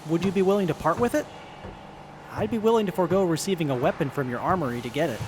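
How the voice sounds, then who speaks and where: A man's voice: a little high, quick pace, lightly acted. A man playing a polite, eager tinkerer in an audio drama, courteous and enthusiastic. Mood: polite, pleased. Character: polite, eager young tinkerer who loves tech. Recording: noisy background mix.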